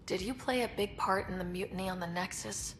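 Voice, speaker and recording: A woman's voice: mid-range voice, fairly quick, fairly bright tone, a little hiss or hum, lightly acted. An actress playing a determined young woman who leads an expedition, earnest and direct. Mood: curious. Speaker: pathfinder.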